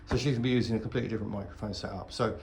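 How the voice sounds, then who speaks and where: A man's voice: lowish voice, fairly even intonation, very fast, vocal fry, darkish tone, a little hiss or hum. A middle-aged man giving a casual, chatty, plain-spoken product review as a vlogger. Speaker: vlogger reviewing motorcycle gear. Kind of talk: casual unboxing and review talk.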